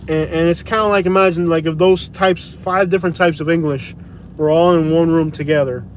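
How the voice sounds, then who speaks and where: A man's voice: fairly high pitch, slight background noise. A man vlogging from his car at night, giving a blunt, slangy, opinionated rant. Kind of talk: live-stream rant while driving.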